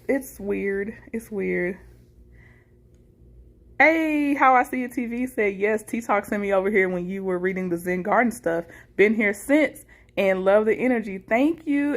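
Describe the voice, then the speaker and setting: A woman hosting a casual livestream, reading viewer comments and responding in an informal, appreciative way. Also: fairly high pitch. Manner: informal, appreciative, chuckles between remarks. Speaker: livestream host, content creator.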